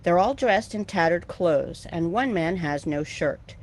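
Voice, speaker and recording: A woman's voice: lowish voice, lively intonation, unhurried, a little hiss or hum. A woman reading scripted stage directions as narrator of a play reading. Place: video call.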